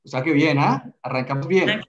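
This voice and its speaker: A man's voice: a little high, animated, normal speed. A man hosting a live webinar over a video call, speaking with eager enthusiasm and stumbling repetitions. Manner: enthusiastic, admiring, repeats words. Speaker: host. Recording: dull lo-fi video-call audio.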